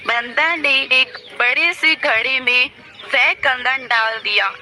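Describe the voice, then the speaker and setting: A woman's voice: sits high, slow, music in the background. A woman narrating a scripted story as a storyteller.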